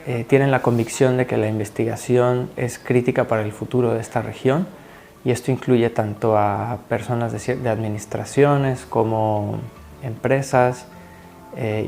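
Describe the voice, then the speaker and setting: A man with a Mexican Spanish accent, a researcher speaking in a measured, articulate way in an interview. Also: medium pitch, steady tone, gravelly, darkish tone, soft background music. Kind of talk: interview answers.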